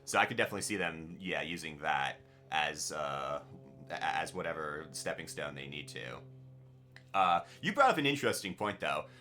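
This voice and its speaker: A man's voice: rumbling low, expressive, normal speed, pushed and bright, faint music behind. A man chatting informally and bluntly as co-host of a fan review show, sharing opinions with casual swearing. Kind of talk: casual opinionated episode discussion.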